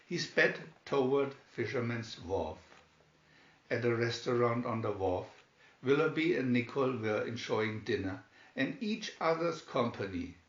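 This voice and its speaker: A man reading a children's adventure story aloud with measured, deliberate phrasing, recorded in an echoey room. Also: mid-range voice, expressive, dull audio. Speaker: storybook narrator.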